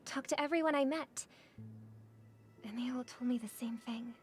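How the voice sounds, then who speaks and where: A woman voicing a soft-spoken young singer. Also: on the high side, normal speed, faint music behind, close to an everyday voice. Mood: calm. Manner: soft, composed, earnest. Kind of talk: game voice acting.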